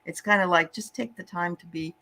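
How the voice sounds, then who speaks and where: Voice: medium pitch, steady tone, brisk, creaky, sharp tone. Chatty, encouraging psychic show host. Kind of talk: casual psychic reading chat.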